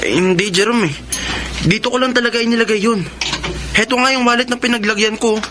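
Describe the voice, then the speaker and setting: An actor playing a hesitant, troubled young son of a well-off family in a radio drama. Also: male voice, very high-pitched, fast talker, background noise. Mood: worried. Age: young adult.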